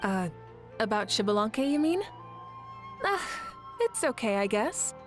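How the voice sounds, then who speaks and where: Game role: confident, gently playful woman leader, measured delivery, fairly high register, soft music underneath. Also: big pitch swings. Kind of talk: video game voice acting.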